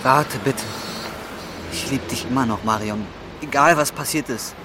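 An actor in a radio drama playing a desperate, embittered young roofer, intense and volatile. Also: male voice, mid-range voice, a little slow, noisy background, close to an everyday voice. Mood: pleading, hurt. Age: young adult.

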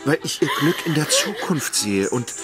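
An actor playing an anxious, devoted gentleman in love in a German radio drama, hesitant, earnest and pleading. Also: on the high side, big pitch swings, moderate pace, over loud music. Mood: pleading, urgent. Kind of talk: German radio drama acting of a classic novel.

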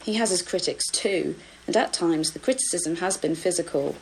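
A woman's voice: on the low side, moderate pace, slight background noise, rough recording. A woman working as a radio reporter, describing things and interviewing on location. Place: artist's studio in Paris suburbs.

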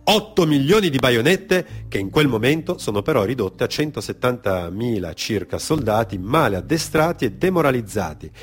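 Man, medium pitch, dramatic narration, grave, emphatic, deliberate phrasing, faint background music. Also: breathy edge. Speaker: storyteller, actor. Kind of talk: dramatic narrative monologue for radio theatre.

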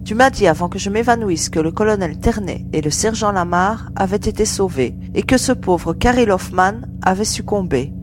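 A woman's voice: mid-range voice, lively intonation, normal speed, hardly any pauses, lightly acted. A woman playing an earnest young female adventurer who cares about her comrades, in an audio serial. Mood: concerned. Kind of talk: acted dialogue in an amateur audio serial. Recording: clear hum and background noise.

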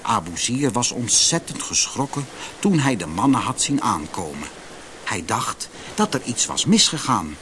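Animated male fairytale narrator. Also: medium pitch, measured pace, slight background noise. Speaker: audiobook narrator. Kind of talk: expressive scripted fairytale narration.

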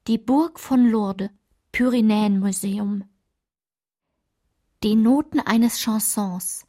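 A woman performing a radio play's literary narration as someone looking back on her girlhood, in a measured, descriptive way. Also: mid-range voice, warm tone. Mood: reflective, measured. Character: reflective woman recalling her girlhood through old photographs.

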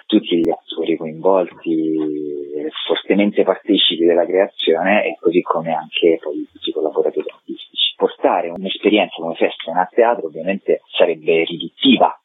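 Low husky man on a tinny phone. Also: no echo. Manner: articulate, thoughtful, elaborate phrasing. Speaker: theatre director being interviewed. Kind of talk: reflective interview answers. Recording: tinny phone line, dry.

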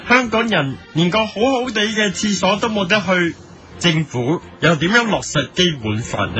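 A man's voice: high voice, pushed and bright, heavily acted. A man playing a mock-serious, indignant television editorial presenter with a Hong Kong Cantonese accent, emphatic in a parody broadcast.

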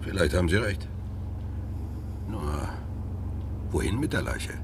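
A man's voice: sits low, expressive, a little slow, slight background noise. An actor in a German radio drama playing an insistent, calculating male schemer, terse and probing.

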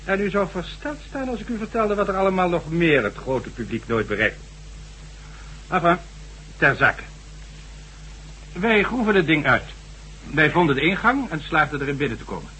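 A man's voice: a little high, animated, moderate pace, long pauses, bright, forceful tone, poor audio. An actor playing a stern, sardonic government official in a radio drama, formal and condescending as he lectures. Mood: measured, recounting. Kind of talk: Dutch radio drama acting. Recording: faint hum and noise, old radio recording.

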